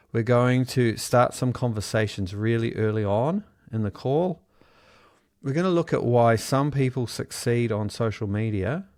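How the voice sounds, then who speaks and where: A man's voice: medium pitch, a little mellow. A man from New Zealand leading a live online workshop, upbeat and encouraging as he reads out and answers chat replies, heard over a video call. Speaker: online business trainer. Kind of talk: live Zoom workshop teaching.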